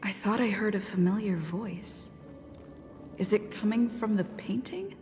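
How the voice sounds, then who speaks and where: A woman voicing a wary, curious young female traveler in a video game, hushed and wondering. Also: medium pitch, unhurried, natural voice. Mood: puzzled. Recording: long hall reverb, faint music behind.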